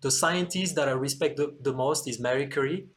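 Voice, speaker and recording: A man with a French accent explains his engineering work in an interview, in a mid-range voice. He speaks earnestly and keeps pausing on "uh" fillers as he searches for words. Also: mid-range voice, fairly bright tone. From France. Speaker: antenna engineer, podcast guest.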